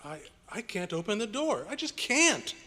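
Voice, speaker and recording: A man acts out a panicky young intern in an old radio play. The voice is very high for a man and husky, stammering and pleading with big swings of pitch in a reverberant hall. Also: sing-song, normal speed, sharp tone, full character voice. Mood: panicked, pleading. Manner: stammering, pleading, breathless urgency. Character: frightened young male intern, deferential and panicky. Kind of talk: old-time radio drama recreation. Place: hotel convention meeting room. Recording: echoey hall, live stage performance.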